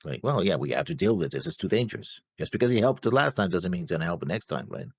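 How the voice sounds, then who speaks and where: A man co-hosting a reaction show, chatting casually and rephrasing as he argues his points in a halting way. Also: on the low side, big pitch swings, gravelly, breathy edge, no room sound, clean studio sound. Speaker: co-host of an anime reaction show. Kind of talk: casual discussion.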